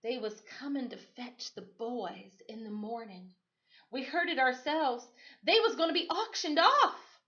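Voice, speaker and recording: A woman's voice: high voice, unhurried, pushed and bright, lo-fi sound, pitched above the performer's own voice. A woman voicing a protective, fearful mother in a storybook, speaking with breathless urgency. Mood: fearful, defiant.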